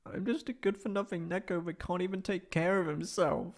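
A man's voice: high voice, fairly even intonation, a little fast. A man voicing a proud but insecure, emotional tsundere catboy in an ASMR roleplay, with hesitant, stammering speech. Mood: distraught, tearful.

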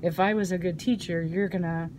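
A woman's voice: lowish voice, steady tone, a little fast, noisy background. A middle-aged woman teacher speaks earnestly in a school office, recorded on a phone camera held at arm's length. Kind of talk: casual talk.

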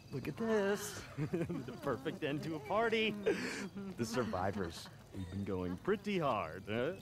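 An actor playing a spoiled young rich party boy in a game cutscene, halting, cocky and foul-mouthed. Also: medium pitch, big pitch swings, a crowd in the background. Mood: giddy, cocky. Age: young adult. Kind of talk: video game cutscene acting.

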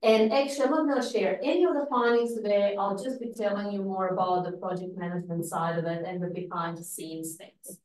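A woman's voice: mid-range voice, brisk, hardly any pauses. Roomy, distant woman giving a seminar. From Croatia. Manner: lively, with light laughs and frequent fillers. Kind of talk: academic seminar talk. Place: seminar room. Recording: echoey room, speaker far from mic.

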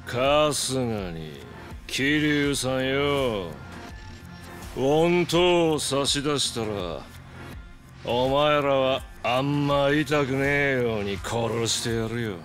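A man's voice: mid-range voice, lively intonation, slow, a bit bright, soft background music. A man playing a menacing, taunting yakuza gang boss in a Japanese video game cutscene, with a theatrical, mocking drawl.

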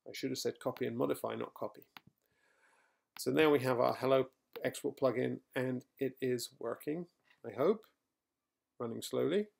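A middle-aged man recorded at home, talking through a software tutorial as he types, with fillers and quick self-corrections. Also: medium pitch, gravelly. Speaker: software trainer. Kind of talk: screencast coding tutorial. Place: quiet home room.

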